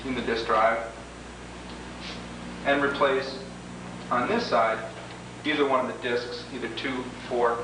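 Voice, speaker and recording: Echoey, distant man giving step-by-step instructions. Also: medium pitch. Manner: explanatory, step-by-step. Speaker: presenter demonstrating software. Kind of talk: instructional demo narration. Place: reverberant indoor room, away from mic. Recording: very echoey, distant, noisy 1980s tape.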